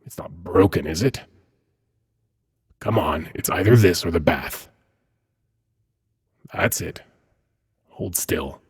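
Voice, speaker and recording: A man voicing a teasing, seductive, gently menacing demon prince in an intimate ASMR roleplay, husky and breathy, often close to a whisper, with silky, unhurried delivery. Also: low-pitched, heavily acted. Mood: teasing, coaxing.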